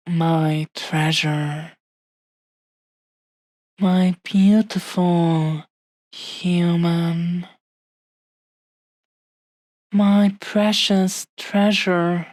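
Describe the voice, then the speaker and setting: Woman acting as affectionate dragon girl, possessive, tender, intimate audio roleplay, extremely unhurried, close dry sound. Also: fairly low pitch, a little mellow, no echo. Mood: adoring, possessive. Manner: slow, lingering, soothing, affectionate delivery with long pauses. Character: adoring, possessive dragon-blooded woman, tender fiancée hoarding her treasure.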